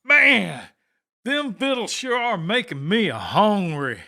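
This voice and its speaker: A man's voice: high voice, huge pitch swings, takes it slowly, gravelly, background noise, full character voice. A man playing a rowdy, good-natured backwoods warrior in an audiobook drama. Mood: boisterous. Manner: folksy, boisterous.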